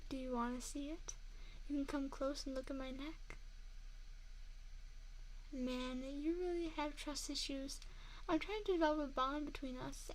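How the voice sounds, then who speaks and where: A woman's voice: sits high, lightly acted, poor audio. A woman voicing a seductive, menacing female vampire in an intimate ASMR roleplay, mocking and taunting a single listener up close. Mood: teasing, coaxing. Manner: teasing, taunting, intimate address to one listener. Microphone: close binaural 3Dio microphone. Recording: faint background noise, close binaural mic.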